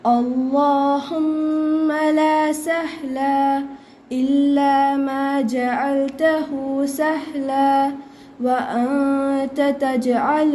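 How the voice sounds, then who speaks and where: A teenage girl recites prayers and their translations phrase by phrase in a measured way. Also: sits high, dark tone. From India. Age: teenager, ninth grade. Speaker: student reciter. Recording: faint background noise, school intercom assembly.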